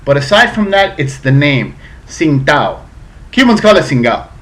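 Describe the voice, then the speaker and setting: A man's voice: fairly high pitch, sing-song, moderate pace. A man in his thirties from South Florida, a little drunk, casually reviewing a beer on his webcam in a chatty, profane way. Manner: chatty, profane, a bit tipsy. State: slightly drunk.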